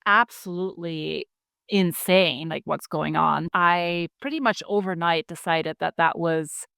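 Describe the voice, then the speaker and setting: A woman's voice: lowish voice, unhurried, gravelly, a bit bright, smooth, no room sound, studio quality. A woman in her thirties with a German accent, a guest talking casually in an interview, full of "like" and "you know". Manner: chatty, many fillers like "like" and "you know".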